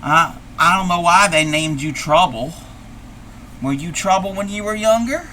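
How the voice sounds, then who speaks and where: Folksy old granny, befuddled by gadgets, slow, bright and a bit high, as a man plays her. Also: animated, a little hiss or hum, full character voice. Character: old grandma, confused by technology, folksy. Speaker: streamer. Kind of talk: live stream character play.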